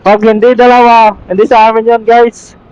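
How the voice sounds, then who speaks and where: A man's voice: extremely high pitch, flat delivery, moderate pace, darkish tone, clean tone, no room sound, muffled recording. A man chatting casually as a motorcycle vlogger through a helmet intercom while riding. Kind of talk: casual riding vlog chat. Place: outdoors, riding a motorcycle in traffic.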